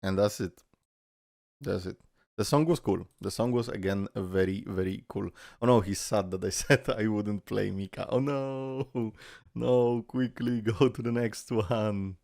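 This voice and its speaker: Gravelly-voiced young man reacting, joking. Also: lowish voice, unhurried, a little mellow, dry recording, studio quality. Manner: loose and joking, swears, laughs often. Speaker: reaction video host. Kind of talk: casual reaction commentary.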